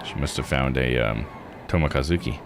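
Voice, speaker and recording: A man's voice: a bass voice, fairly quick. A man doing casual let's play commentary, thinking aloud and reading game text out. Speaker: video game streamer. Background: game music.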